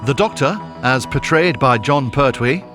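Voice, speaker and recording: A male announcer reads scripted credits in a mid-pitched voice. The delivery is animated, with clear music behind it. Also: a little slow. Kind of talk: scripted narration.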